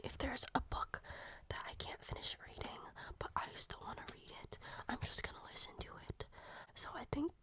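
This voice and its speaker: Young woman, sick, soft unvoiced ASMR whisper, high voice, rambling casual book talk. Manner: rambling, casual, many fillers. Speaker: ASMR creator.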